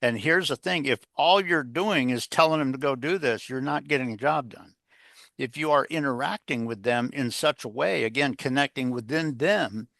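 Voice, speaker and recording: A man's voice: medium pitch, vocal fry, a bit bright, no echo, clean studio sound. A man speaking as an interview guest on leadership, conversational and rambling, often posing rhetorical questions to the listener. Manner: rambling, filler-heavy, poses rhetorical questions. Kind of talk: interview answers, conversational coaching.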